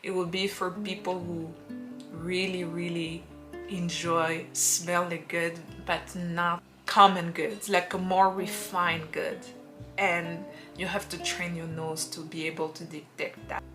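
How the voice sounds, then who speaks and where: Unhurried woman over background music. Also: lowish voice, flat delivery. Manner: unhurried, drawn-out phrasing with playful acted quotes. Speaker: perfume reviewer. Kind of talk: casual perfume recommendation vlog. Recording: music playing underneath.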